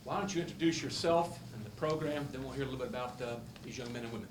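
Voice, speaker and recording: A man presiding over a council meeting, speaking in a folksy, halting way with frequent fillers. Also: medium pitch. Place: council chamber. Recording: echoey room, background noise.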